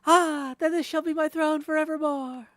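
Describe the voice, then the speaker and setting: A man's voice: very high voice, steady tone, moderate pace, a bit bright, no room sound, clean studio sound, pitched above the performer's own voice. A man voicing a haughty, menacing female spirit from a video game, boastful and theatrical. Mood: gleeful menace.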